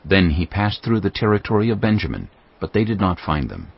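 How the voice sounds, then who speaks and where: A man narrating a dramatized Bible story in a measured, scripted storytelling style. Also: sits low, big pitch swings, gravelly, slightly rough. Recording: slightly dull sound.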